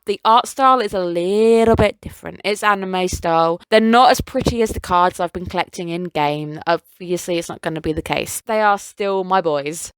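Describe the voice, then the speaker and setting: A young woman in a casual solo review, gushing as an excited fan and rambling through self-corrections. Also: mid-range voice, clear, resonant tone, no echo, low-quality recording. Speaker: anime reviewer.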